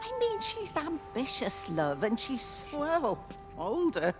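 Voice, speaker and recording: A woman's voice: high-pitched, wildly swooping pitch, slow pace, over music, full character voice. An actress playing an older mother, fretting over and chiding her grown son in a naturalistic game drama. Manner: chiding, hesitant, proper.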